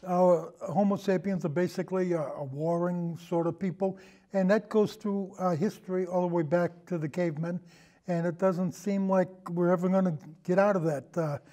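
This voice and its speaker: Higher-pitched older man, rambling. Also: steady tone, moderate pace, mellow. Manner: rambling, digressive, hesitant. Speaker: guest, amateur historian and old time radio podcaster. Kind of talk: casual chat, reminiscing.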